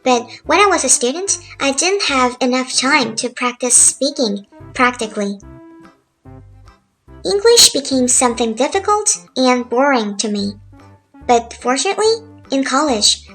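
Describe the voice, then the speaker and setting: A woman in her thirties from South Korea, speaking English with a Korean accent, calmly narrating a chill, laid-back talk as a language tutor. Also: high voice. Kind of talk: relaxed scripted narration over drawings. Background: faint music.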